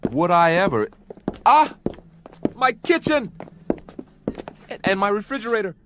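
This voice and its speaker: Excitable, halting American scientist, swinging pitch. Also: male voice, noisy background. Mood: delighted. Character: eager, naive male American scientist stranded on another planet. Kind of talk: radio drama acting.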